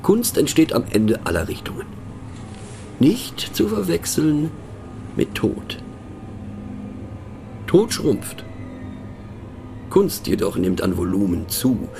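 Slow, ironic middle-aged man, lively pitch. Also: background noise, full character voice. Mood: wry, pondering. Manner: thoughtful, ironic, hesitant, breaking off to question the listener. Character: musing, mocking male narrator, rambling to fellow train passengers. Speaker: narrator. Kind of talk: radio play monologue.

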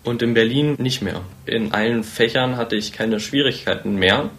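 A man's voice: medium pitch, normal speed, fairly bright tone. A man describing his own experiences as a student in a plain, matter-of-fact way. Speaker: interviewed student.

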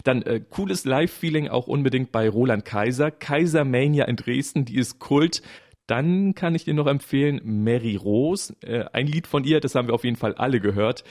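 A German man, a radio colleague, explaining in a relaxed and enthusiastic way why he likes schlager. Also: mid-range voice, a little fast. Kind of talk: casual explanation.

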